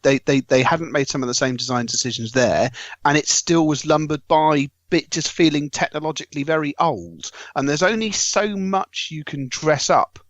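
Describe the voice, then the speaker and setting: A man's voice: a little high, dry recording, dull audio. A British man reviewing tech on a podcast in a wordy, wry manner with formal turns of phrase. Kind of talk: podcast discussion of home tech.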